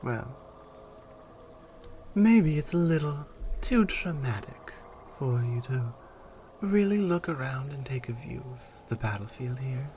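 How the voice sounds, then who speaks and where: Woman acting slow-spoken, taunting Amazonian warrior. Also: rumbling low, animated, a little hiss or hum, full character voice. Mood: cold, taunting. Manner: taunting, deliberate, hushed at times. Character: stern, proud female Amazonian warrior guarding her captive. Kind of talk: ASMR roleplay monologue.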